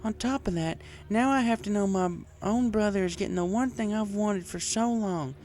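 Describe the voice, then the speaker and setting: Man voicing a high-pitched, folksy farm pony girl. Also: moderate pace, darkish tone, natural voice. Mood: upset. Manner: folksy, plain-spoken. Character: heartbroken young female farm pony drowning sorrows.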